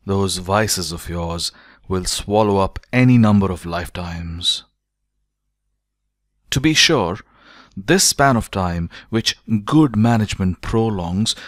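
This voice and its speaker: Low-voiced man narrating an audiobook. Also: no room sound, studio quality. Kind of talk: scripted audiobook narration of a philosophical essay.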